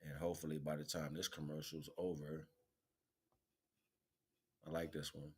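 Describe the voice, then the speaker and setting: A man's voice: rumbling low, flat delivery, moderate pace, husky. A man chatting casually as a livestream host, rambling in slang and repeating catchphrases.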